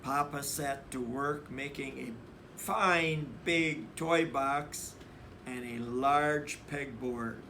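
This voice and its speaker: A man reading a children's picture book aloud in an emphatic, deliberate storyteller's manner. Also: medium pitch, sharp tone, a little hiss or hum. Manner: emphatic, deliberate, acts out dialogue.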